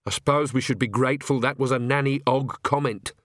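A man voicing a young woman, a sensible witch with a sharp, reproachful, matter-of-fact manner in a storybook. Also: medium pitch, steady tone, a little fast, no echo, clean studio sound, lightly acted. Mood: sharp, exasperated.

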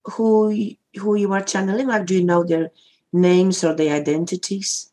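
A woman's voice: medium pitch, fairly even intonation, normal speed, warm tone. A woman hosting a podcast, asking her guest brief, curious questions. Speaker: podcast host. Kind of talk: interview questions.

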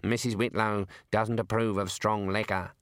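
A man's voice: fairly low pitch, level tone, moderate pace, dry recording, clean studio sound, voice pushed down, lightly acted. A man voicing a fussy, flustered older male wizard who stammers and hesitates.

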